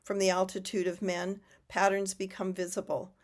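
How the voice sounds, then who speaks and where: A woman's voice: mid-range voice, little pitch movement, normal speed. Woman reading aloud, voice still recovering. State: voice not fully recovered after losing it. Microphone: video call.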